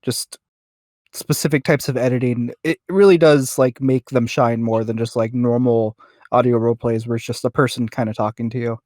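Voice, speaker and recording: Man, medium pitch, informal chatty interviewer, meandering delivery, very little room sound. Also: fairly even intonation, normal speed, darkish tone, no room sound, clean studio sound. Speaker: podcast interviewer. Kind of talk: casual chat.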